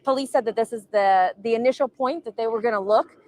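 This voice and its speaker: A woman's voice: fairly high pitch, fast talker, a little mellow. A woman giving a live field news report, hesitant and often restarting her phrases. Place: outdoors in a remote area.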